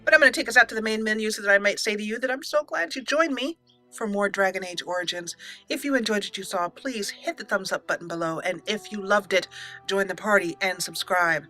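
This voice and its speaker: A woman's voice: mid-range voice, brisk, bright, forceful tone. A woman hosting a let's play, chatting through her gameplay in an upbeat way and reading the game's text aloud as roleplay narration. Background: faint game music.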